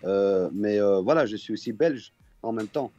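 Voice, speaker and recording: Relaxed man on a dull line. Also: fairly low pitch, normal speed, dry recording, faint music behind. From Belgium. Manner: relaxed, frequent fillers and restarts. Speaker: guest musician.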